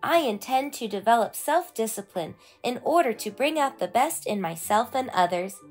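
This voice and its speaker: A woman's voice: on the high side, expressive, moderate pace, faint music behind, natural voice. A woman voicing an eager, earnest young boy in a children's storybook read-aloud. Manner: eager, proud, explaining earnestly. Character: excitable, earnest young boy learning martial arts.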